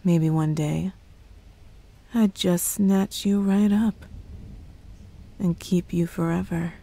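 A woman's voice: on the low side, steady tone, takes it slowly, dark tone. A woman playing a playful, possessive witch girlfriend in an ASMR roleplay, teasing and coy with a sweetly threatening edge. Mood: veiled menace.

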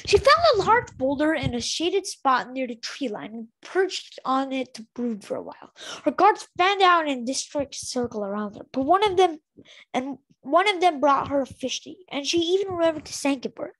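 A woman's voice: high-pitched, animated, normal speed, fairly bright tone, low-quality recording. A woman reading a fantasy novel aloud as an amateur, stumbling over words and correcting herself. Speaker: amateur book reader.

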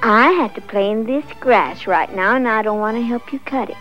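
A woman's voice: fairly high pitch, normal speed, pitched above the performer's own voice, natural voice. A woman voicing a lazy, evasive male pig in a children's story, always repeating excuses. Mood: hurried excuses. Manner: repetitive, excuse-making. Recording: dull lo-fi sound with faint music.

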